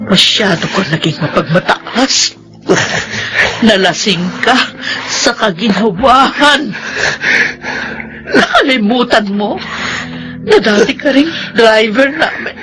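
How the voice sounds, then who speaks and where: Casting: anguished Filipino wife, high voice, sweeping melodramatic delivery, bitterly accusing, radio drama. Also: female voice, lively intonation, takes it slowly, long pauses, fairly bright tone, faint music behind, poor audio. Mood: hurt, accusing.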